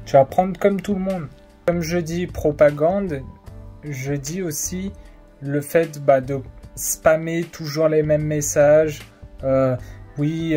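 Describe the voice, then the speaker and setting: Slow, hesitant young vlogger over faint music. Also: mid-range voice, warm tone. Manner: hesitant, many fillers, colloquial and insistent. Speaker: political vlogger. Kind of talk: casual opinion monologue to camera. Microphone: phone or camera facing the speaker.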